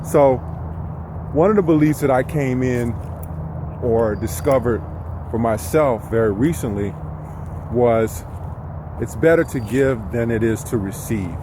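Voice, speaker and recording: Man talking outdoors over clear street noise. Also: medium pitch, a little slow, long pauses. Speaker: vlogger giving personal development advice. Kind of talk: informal motivational talk to camera. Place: outdoors, walking near traffic.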